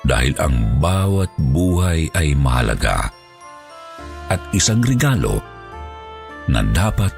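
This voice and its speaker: A man's voice: rumbling low, very animated, slow pace, vocal fry, long pauses, darkish tone. A man narrating a scripted horror story in a dramatic, solemn manner. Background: background music.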